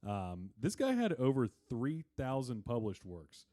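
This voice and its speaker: A man's voice: medium pitch, huge pitch swings, measured pace, dark tone. Casual male podcast co-host, explanatory and sweary. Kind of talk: casual chat, comedic banter.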